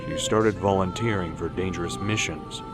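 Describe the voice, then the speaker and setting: A man playing a deep-voiced, stern army colonel. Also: loud music behind the voice, close to an everyday voice. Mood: troubled. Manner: measured, authoritative, serious. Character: stern, worried older male army colonel. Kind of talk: English-language radio drama acting.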